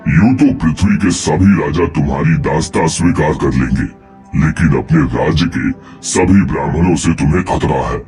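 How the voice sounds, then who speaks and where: A man's voice: extremely low pitch, normal speed, darkish tone, soft background music. A man playing a grave, sly false ascetic in a cartoon moral story, speaking formally and solemnly. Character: royal prince in disguise as a holy ascetic, grave, sly.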